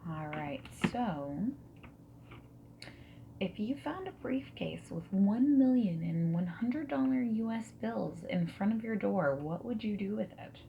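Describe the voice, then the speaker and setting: A woman playing a friendly, non-judgmental dating service matchmaker in a gentle, soft-spoken and whispered ASMR roleplay. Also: mid-range voice, animated, creaky, highs cut off, slight background noise. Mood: friendly, curious. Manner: gentle, reassuring, asks questions and pauses for answers. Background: mechanical keyboard typing and clicks.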